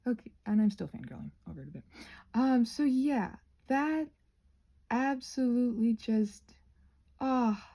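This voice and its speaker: A woman chats casually in a husky, breathy voice with a gravelly creak at the edges. Her tone is soft and mellow, often falling to a near-whisper. She is hesitant and self-deprecating, letting thoughts trail off as she rambles to viewers. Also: fairly high pitch, expressive, a little slow. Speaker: ASMR creator rambling while crafting.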